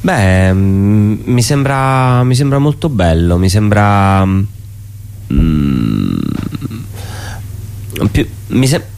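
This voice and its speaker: Very deep-voiced man, musing. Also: lively intonation, drawn-out words, pauses often, darkish tone, clear, resonant tone. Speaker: interviewed theatre performer. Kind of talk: casual reflective conversation.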